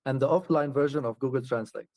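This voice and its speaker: A man's voice: medium pitch, fast talker, darkish tone, no room sound. A man chatting casually as an interview guest, often laughing and restarting his sentences. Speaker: traveler guest.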